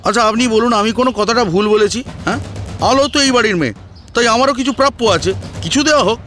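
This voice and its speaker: A man's voice: extremely high pitch, fast talker, pushed and bright, faint music behind, pitched above the performer's own voice. A man playing a greedy, shameless son-in-law in an audio drama, pleading and arguing insistently for what he thinks he is owed. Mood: pushy demanding. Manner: insistent, wheedling, argumentative. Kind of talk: Bengali audio story acting.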